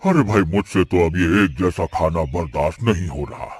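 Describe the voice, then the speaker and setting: A man voicing a familiar, encouraging but grumbling eatery customer in a cartoon story, with a husky voice. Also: low-pitched, expressive, normal speed, a little mellow, dry recording. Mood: encouraging, mildly fed up. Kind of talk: Hindi cartoon moral-story dialogue.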